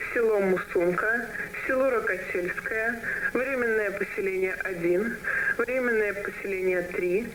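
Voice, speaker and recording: A woman's voice: mid-range voice, noisy background, lightly acted. A woman playing a formal emergency broadcast announcer who reads urgent official warnings in an analog horror video. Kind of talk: formal scripted emergency bulletin.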